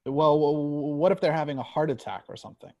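Man playing hesitant, higher-voiced trainee agent. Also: steady tone, fast, a little mellow, muffled recording, lightly acted. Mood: anxious questioning. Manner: hesitant, questioning, stammering. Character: eager, unsure young male trainee agent. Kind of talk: screenplay table reading.

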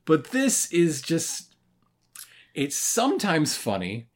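A podcast co-host in their thirties chatting casually in a review, often pausing mid-thought. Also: mid-range voice, wildly swooping pitch. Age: 30s. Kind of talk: casual review chat about a cartoon.

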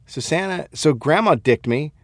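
A middle-aged American man chats as a comedy podcast co-host. His pitch swings widely as he tells stories and acts out lines, with plenty of profanity. The sound is close and dry. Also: animated, normal speed, no room sound. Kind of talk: casual chat and comic storytelling.

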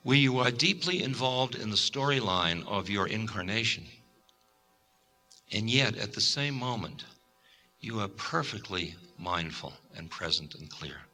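A man giving a recorded spiritual lecture in a calm, reflective, measured way. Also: lowish voice, soft background music. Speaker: spiritual teacher.

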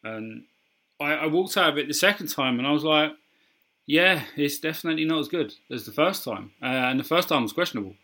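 A man's voice: fairly high pitch, expressive, fairly quick, pushed and bright. A man co-hosting a podcast, chatting casually about films, with many ums and restarts. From England. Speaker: podcast co-host. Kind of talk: casual film discussion.

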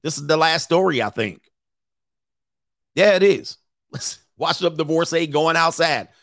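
A man's voice: fairly high pitch, lively intonation, unhurried, fairly bright tone, dry recording, studio quality. A man in his forties hosting mocking reaction commentary, brash and slangy, laughing often. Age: 40s. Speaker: commentary host.

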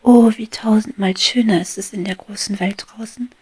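A woman's voice: mid-range voice, normal speed, slightly rough, pitched above the performer's own voice. Woman whispering as an eager young bee girl. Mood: defiant joy. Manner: excited soft whisper turning loud. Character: eager young female bee, wide-eyed and bold.

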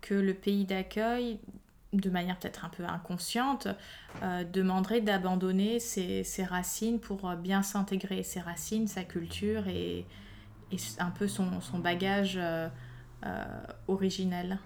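A woman hosting a podcast interview, gentle and attentive, asking long probing questions. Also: medium pitch, fairly even intonation, a little slow, a little hiss or hum. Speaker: podcast host. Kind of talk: interviewing a guest.

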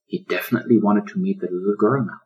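A man's voice: lowish voice, steady tone, fast talker, lo-fi sound. A man reading his own children's audiobook as author and narrator, in a scripted storytelling style.

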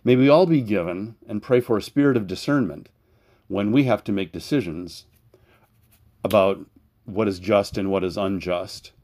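A man giving a sermon as pastor, preaching in an earnest, measured way and reading scripture aloud. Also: lowish voice, darkish tone.

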